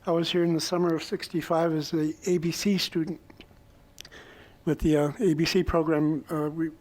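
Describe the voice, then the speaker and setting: A man with a soft voice recalling his past as a panelist at a college alumni roundtable. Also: on the high side, level tone, normal speed. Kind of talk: personal recollection on a panel. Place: college event room.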